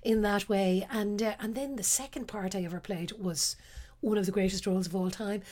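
A middle-aged Irish woman from the west of Ireland, an actress telling stories from her life in a relaxed interview, self-deprecating and quick to laugh. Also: medium pitch. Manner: warm, self-deprecating storyteller, laughs often. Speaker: actress guest.

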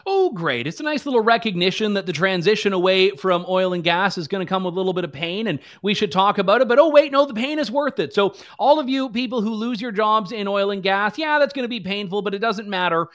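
A man's voice: high voice, lively intonation, fast talker, few pauses, fairly bright tone. A man hosting a political commentary show, talking in a sarcastic, mocking way. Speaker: host.

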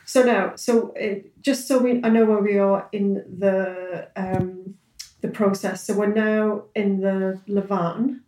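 Brief: english woman host, mid-pitched, chatty, roomy echo on a video call. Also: fairly even intonation, mellow. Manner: chatty, quick affirmations, laughs easily. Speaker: podcast host. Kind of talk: casual interview chat. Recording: echoey room.

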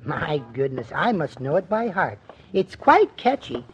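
An actor playing a meek, mild, henpecked little husband in a polite, fussy manner for a 1950s radio comedy. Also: male voice, fairly high pitch, very animated, unhurried. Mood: mildly proud. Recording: dull old radio recording, faint hiss.

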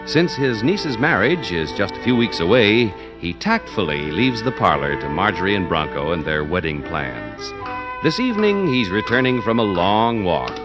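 A man announcing a 1940s radio show and reading its sponsor ad in a booming, theatrical style. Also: huge pitch swings, unhurried, over music.

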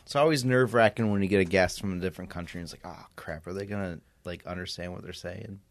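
Low-voiced sardonic man, dry sound. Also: fast talker, no echo. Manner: blunt, sardonic, swears casually. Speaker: podcast host. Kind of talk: casual podcast chat.

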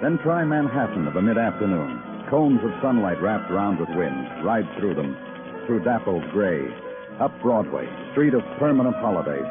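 New York police detective, low voice, terse, measured, moody, muffled old radio recording. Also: male voice. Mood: brooding, lyrical. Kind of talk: old-time radio crime drama acting. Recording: band-limited old radio recording with music.

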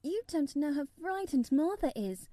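A woman's voice: high-pitched, lively intonation, unhurried, dark tone, no room sound, pitched above the performer's own voice. A woman voicing a frank, self-willed young girl who speaks earnestly and candidly in a storybook reading.

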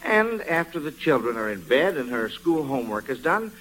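A man's voice: medium pitch, sing-song, moderate pace, fairly bright tone. A man hosting a 1940s children's radio quiz in an encouraging, folksy manner. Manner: encouraging, folksy, repeats approving murmurs. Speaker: quizmaster of children's quiz show. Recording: vintage radio, noisy with audience.

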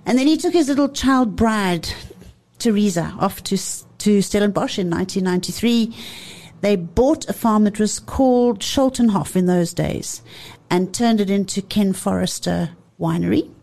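A woman's voice: mid-range voice, gravelly, mellow. A woman who talks about wine on a radio show, playful and effusive, often laughing. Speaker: wine commentator. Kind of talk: lively radio chat.

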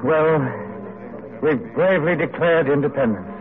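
An actor playing an ardent, idealistic and courteous Revolutionary pamphleteer in an old-time radio drama. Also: male voice, on the high side, unhurried, close to an everyday voice. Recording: noisy, tinny old radio broadcast.

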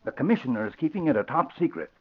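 A man's voice: mid-range voice, big pitch swings, rapid-fire, slightly rough. An actor playing an older American father, proud and eager, with emphatic folksy exclamations in 1940s radio drama style. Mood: earnest, proud. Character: proud, eager old father, former Texas rider. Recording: muffled, noisy vintage radio recording.

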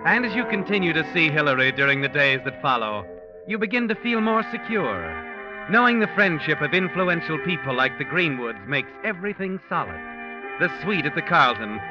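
An actor playing a knowing, ominous narrator who addresses the protagonist directly, with theatrical old-time radio delivery. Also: male voice, fairly high pitch. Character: knowing, ominous second-person narrator of a crime tale. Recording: muffled vintage radio, dramatic music.

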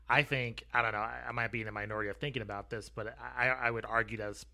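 A man's voice: fairly low pitch, bright, forceful tone. A man hosting a sports podcast, putting casual, conversational questions to a guest. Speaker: sports podcast host, interviewer.

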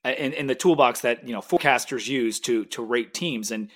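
A man's voice: medium pitch, fairly quick, a bit bright. A man hosting a sports podcast, chatting casually and enthusiastically.

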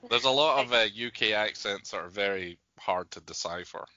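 A man from Scotland with a Scottish accent, chatting as a podcast guest in a relaxed, joking way. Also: lowish voice, normal speed, no room sound. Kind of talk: casual chat. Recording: dull lo-fi podcast audio.

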